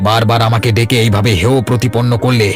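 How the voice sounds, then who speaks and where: A man playing a blunt, stubborn grown son and village uncle in a cartoon, talking briskly and curtly. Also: medium pitch, flat delivery, lightly acted. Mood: angry, defiant. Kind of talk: Bengali cartoon voice acting. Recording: music under the voice.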